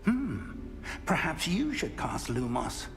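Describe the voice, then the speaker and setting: An actor playing a seasoned, protective wizard professor in a video game, speaking in a measured, formal, deliberate way. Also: male voice, fairly high pitch, wildly swooping pitch, exaggerated voice. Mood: concerned, curious. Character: seasoned male wizard professor, composed, curious, protective mentor. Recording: loud orchestral game music behind. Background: game soundtrack music.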